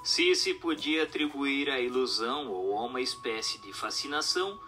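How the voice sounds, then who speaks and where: A man's voice: medium pitch, lively intonation, moderate pace, sharp tone. A Brazilian man reading a book aloud as scripted narration, in a formal, didactic manner. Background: background music.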